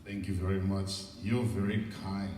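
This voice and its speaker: A man's voice: deep voice, level tone, normal speed. A middle-aged man with a Ugandan accent gives an informal motivational talk in a candid, encouraging, conversational way. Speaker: guest speaker at a seminar. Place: large indoor event room. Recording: echoey hall, distant mic, noisy.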